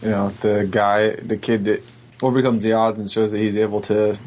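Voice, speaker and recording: A man's voice: on the low side, level tone, normal speed, darkish tone. A man chatting casually as a podcast guest, plain-spoken and understated. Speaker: podcast guest, military veteran. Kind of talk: casual chat. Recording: faint background noise.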